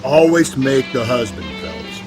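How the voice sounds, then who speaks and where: Cynical American homicide detective in a game; blunt, sardonic, middle-aged, medium pitch. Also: male voice, sing-song, unhurried, over music. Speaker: video game voice actor. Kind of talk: scripted detective drama acting.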